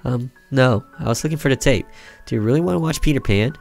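A man's voice: mid-range voice, fast, gravelly, darkish tone, voice pushed up, natural voice. Guy as a hesitant big brother kid in a game, coaxing, slightly raised voice, music. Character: nervous, coaxing older brother, a young boy.

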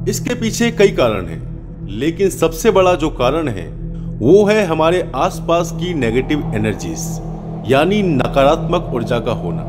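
Male podcast host, highish pitch, very lively intonation, suspenseful scripted narration, emphatic delivery. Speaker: host of a horror story podcast. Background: background music.

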